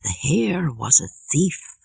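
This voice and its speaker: A woman's voice: low voice, sing-song, takes it slowly, gravelly, warm tone, breathy edge, dry recording, heavily acted, low-quality recording. Woman voicing a solemn, proud warrior man. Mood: hushed storytelling. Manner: solemn, formal. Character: proud, protective East African warrior man, a storyteller. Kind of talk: audiobook character reading.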